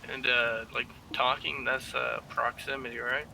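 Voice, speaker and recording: A man's voice: medium pitch, flat delivery, measured pace, gravelly, sharp tone, a little hiss or hum. A man chatting casually and appreciatively as a newcomer asking questions in an online game. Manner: friendly, appreciative.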